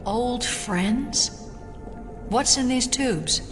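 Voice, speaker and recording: A woman's voice: on the high side, very slow, music in the background. An actress playing a sceptical female investigator in an audio drama, questioning and incredulous. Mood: amazed. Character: sceptical, alarmed female investigator held along.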